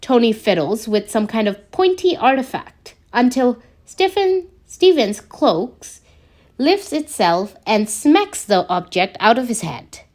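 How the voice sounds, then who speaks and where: Woman, story narrator, scripted reading, unhurried pace, animated intonation. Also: a little high. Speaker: podfic narrator. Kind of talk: scripted fan fiction narration, read slowly.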